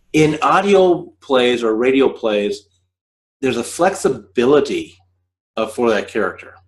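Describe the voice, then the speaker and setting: Man in his forties, mid-pitched, explaining. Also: rough recording. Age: 40s. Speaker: host of a scriptwriting video podcast. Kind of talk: informal explanatory talk to camera. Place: living room on a couch, indoors.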